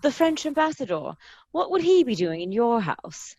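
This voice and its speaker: Woman playing a lively, hearty English gentleman. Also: a little high, moderate pace. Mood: friendly, frank.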